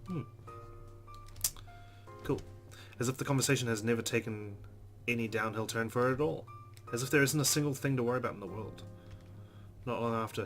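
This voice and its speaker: Lowish-voiced man reading a game aloud. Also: fairly bright tone. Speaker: let's play host. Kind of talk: reading visual novel narration aloud, casual asides.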